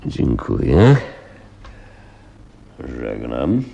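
Haughty king with rumbling deep voice. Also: huge pitch swings, very slow, highs cut off, slight background noise, full character voice. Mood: gracious, grateful. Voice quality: very deep, dark, gravelly, husky. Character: haughty, gracious male king, mature. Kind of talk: Polish radio play acting.

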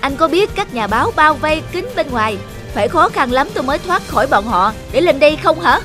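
A woman voicing a bossy, stout middle-aged male manager who gives orders and scolds briskly. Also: sits high, expressive, over music. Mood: scolding.

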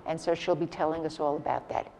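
A woman hosting an art salon, giving a measured, formal introduction of the evening's speaker. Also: low-pitched, level tone, very noisy. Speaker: host of art salon talks.

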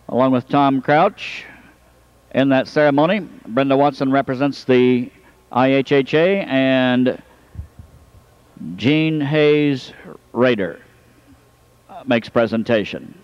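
A man announcing a harness race, introducing the field and then calling the running in a rapid rhythmic style that builds toward the finish. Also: mid-range voice, no room sound, lo-fi sound.

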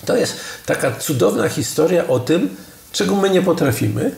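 Gravelly wry man in an echoey room. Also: a little high, big pitch swings, darkish tone. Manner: wry, ironic, halting restarts. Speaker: podcast co-host, culture critic. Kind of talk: casual conversation about films.

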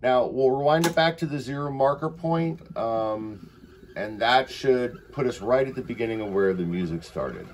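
A man's voice: mid-range voice, animated, normal speed, a little hiss or hum. A man giving a casual product demonstration as he shows off equipment indoors. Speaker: demonstrator of a reel-to-reel tape deck. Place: indoors, in a wood-panelled room.

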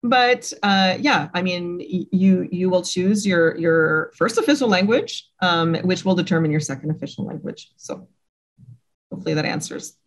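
A woman's voice: on the low side, expressive, moderate pace. A middle-aged woman, a native French speaker, explaining hiring processes on a webinar in a conversational, hesitant way with many fillers. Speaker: staffing expert, panelist. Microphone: video call.

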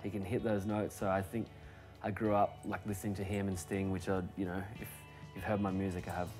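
A young adult man, chatting casually as a musician guest in a bar booth, relaxed and rambling with fillers. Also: deep voice, a little fast, over music. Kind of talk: casual interview chat.

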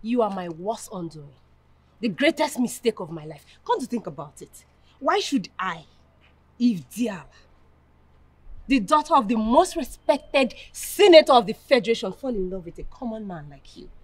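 A woman's voice: on the high side, expressive, moderate pace, darkish tone. An actress playing a scornful, imperious young senator's daughter with a Nigerian accent, scolding her lover in film melodrama style.

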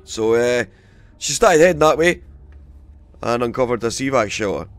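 A man with a cold, hoarse and gravelly, casually commentating a game he plays and swearing freely. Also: medium pitch, lively intonation, normal speed, no room sound. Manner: swears freely, rambling and chatty. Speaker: let's play host.

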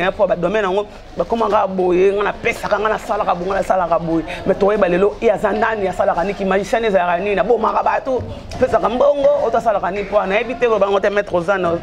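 A woman hosting a casual interview on a small studio set, prompting her guest with quick, chatty questions. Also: medium pitch, fairly even intonation. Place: small studio with a branded backdrop. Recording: noisy studio recording.

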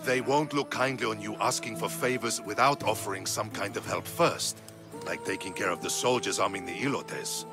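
An actor playing an earnest, loyal Spartan officer in a video game, respectful and persuasive. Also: male voice, fairly low pitch, moderate pace, gravelly. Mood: urging. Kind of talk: dramatic video game acting. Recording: music behind dialogue.

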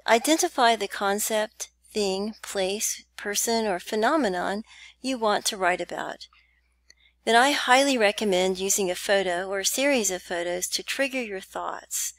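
A woman teaching essay writing over slides, talking in a relaxed, chatty way with small laughs and ums. Her voice sits at a middle pitch. The recording is dry and close, with no room sound. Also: dry recording. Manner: chatty, with light laughs and fillers. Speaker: writing instructor. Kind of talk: narrated slide lecture.

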